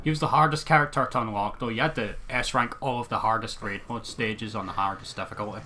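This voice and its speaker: A man's voice: lowish voice, quick pace, background noise. A man co-hosting a let's play, giving wry, opinionated commentary in a casual, bantering way.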